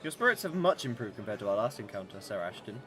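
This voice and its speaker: Man acting a quick-spoken, mysterious immortal stranger. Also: fairly low pitch, sing-song, sharp tone. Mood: aloof, questioning. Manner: formal, measured wording, aloof. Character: mysterious ageless immortal stranger, proud, coolly probing. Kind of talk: radio drama acting.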